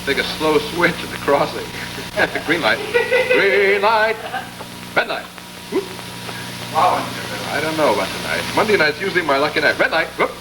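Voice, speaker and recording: A man's voice: fairly high pitch, big pitch swings, moderate pace, long pauses. A man playfully calling a game as announcer on a vintage children's show. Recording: noisy old broadcast archive.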